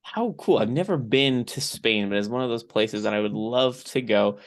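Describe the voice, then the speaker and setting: A man's voice: medium pitch, moderate pace. A young man hosting a podcast, talking casually and rambling a bit, his voice a little off from a recent throat infection. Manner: chatty, rambling with fillers. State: recovering from strep throat. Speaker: podcast host.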